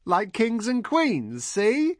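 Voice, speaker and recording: Male narrator as simple-minded woman, very high-pitched, shrill, excitable, childlike, rustic, audiobook character, dry sound. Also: normal speed, no echo. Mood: excited, insistent. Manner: shrill, exclaiming, rustic phrasing.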